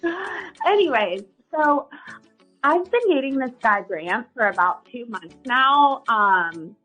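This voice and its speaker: A woman plays a suspicious, bewildered girlfriend phoning a radio show. Her voice is high and she rambles in casual, filler-heavy chat over a music bed. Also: animated, slow pace, sharp tone, husky, exaggerated voice, rough recording. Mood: chatty.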